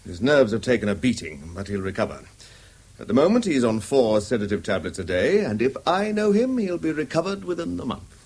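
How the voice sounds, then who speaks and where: An actor playing a guarded, easily indignant country doctor in a radio drama, crisp and formal, with an English accent. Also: male voice, mid-range voice, big pitch swings, a little fast, darkish tone. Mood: firm, measured. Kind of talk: 1970s British radio drama acting.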